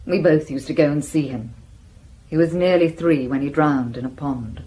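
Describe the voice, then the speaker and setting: A woman's voice: low voice, normal speed, dark tone, natural voice, poor audio. An actress playing a forthright, worldly woman in a radio drama, blunt, wry and self-possessed. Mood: reflective.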